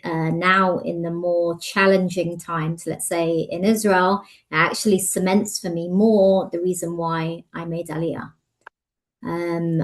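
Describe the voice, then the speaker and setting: A woman giving a measured personal account as a panellist on a webinar. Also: lowish voice, low-quality recording.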